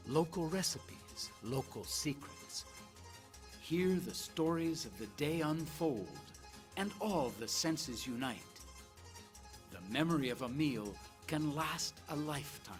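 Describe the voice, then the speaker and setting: Male VO, slow lyrical travel-promo read, music bed underneath. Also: mid-range voice. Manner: slow, poetic, measured delivery. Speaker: promotional video narrator. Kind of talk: scripted tourism narration. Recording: narration over loud music.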